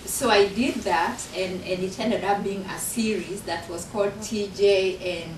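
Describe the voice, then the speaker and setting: A woman's voice: medium pitch, fairly even intonation, unhurried, background noise. Kenyan lady explaining her book, earnest, roomy echo, mic a bit far off. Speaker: author and university professor. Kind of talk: talk about her book to an audience. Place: university function room. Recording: echoey room recorded from afar.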